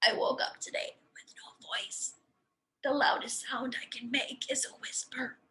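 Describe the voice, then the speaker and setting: A woman reading as a dejected child singer from a storybook who has lost their voice and speaks in a breathy whisper. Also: high voice, flat delivery, measured pace, bright, forceful tone, poor audio. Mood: worried, dejected.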